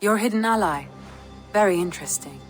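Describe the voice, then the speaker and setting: A woman playing a composed, shrewd Norse strategist in a video game, calm and deliberate, in a reverberant hall. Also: medium pitch, lightly acted. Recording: reverberant hall, music behind.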